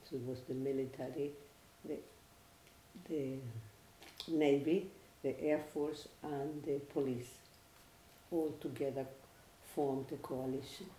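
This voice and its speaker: An older speaker with a Chilean Spanish accent speaks in a measured, reflective way, often pausing and restarting phrases. The tone is mellow and mid-pitched. The recording sounds roomy, with a faint hiss underneath. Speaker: interviewee, former academic and researcher. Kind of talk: personal testimony.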